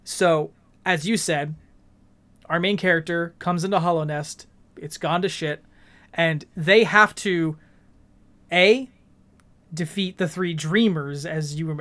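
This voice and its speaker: A man's voice: a little high, a bit bright. A man co-hosting a podcast, talking casually and explaining things in colloquial language. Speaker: podcast co-host. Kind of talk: casual video game discussion.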